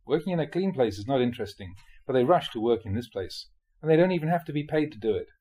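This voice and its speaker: Man with expressive, slightly husky reading voice. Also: medium pitch, fast talker. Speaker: monk reading dharma talks aloud. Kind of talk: reading a spiritual talk aloud.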